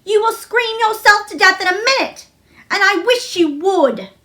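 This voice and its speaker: Woman acting furious, high-voiced little girl. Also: animated, normal speed, exaggerated voice. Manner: shouting, fierce, commanding. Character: furious, stubborn, bossy little girl, savage temper.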